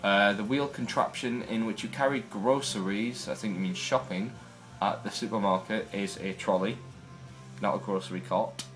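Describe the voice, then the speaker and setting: Brief: young Geordie man, low-pitched voice, casual wry vlogger, faint music underneath. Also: steady tone, normal speed, a bit bright. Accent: Newcastle Geordie. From North East England. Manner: chatty, wry, rambling asides. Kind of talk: casual first video blog.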